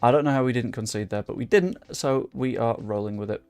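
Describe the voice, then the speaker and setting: A man's voice: mid-range voice, brisk, darkish tone, clean tone, no room sound. A young man chatting casually as he plays a football management game, talking to his viewers and cursing under his breath. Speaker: gaming YouTuber. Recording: dry close mic with game music behind.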